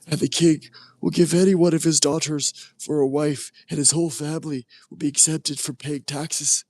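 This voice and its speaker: A man voicing frightened soldiers excitedly passing along rumors. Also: a little high, moderate pace, no echo, pitched above the performer's own voice. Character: frightened soldiers gossiping, male.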